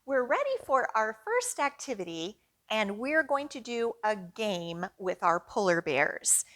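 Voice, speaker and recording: A woman's voice: on the high side, sing-song, a little slow, a bit bright. A woman hosting a children's storytime, reading aloud and leading rhymes expressively as she addresses young children. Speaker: children's librarian storytime host.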